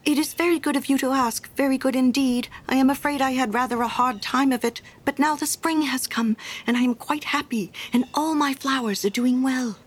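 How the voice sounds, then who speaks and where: A woman's voice: high-pitched, fairly quick, few pauses, husky, pitched above the performer's own voice. A woman doing a meek, eager little gardener. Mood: grateful. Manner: eager, grateful, earnest. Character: humble, cheerful poor young gardener.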